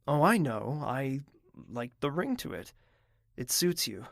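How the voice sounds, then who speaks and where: An actor playing a kind, modest young prince who speaks softly. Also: male voice, mid-range voice, big pitch swings, a little slow, creaky, no echo, clean studio sound, exaggerated voice. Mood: warm. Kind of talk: audio drama acting.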